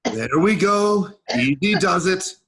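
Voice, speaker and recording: A man's voice: sits high, lively intonation, slow, fairly bright tone, full character voice. A man in a staged play reading, playing a macho G.I. Joe soldier doll, cocky and protective, with tough-guy endearments. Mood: urgent, soothing.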